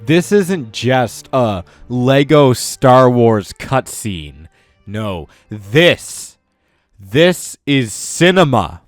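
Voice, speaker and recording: A man's voice: mid-range voice, sing-song, extremely slow, no room sound. A man hosting a scripted countdown video, commenting in a mock-earnest way with exaggerated praise. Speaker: video host. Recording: music under the voice. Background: music.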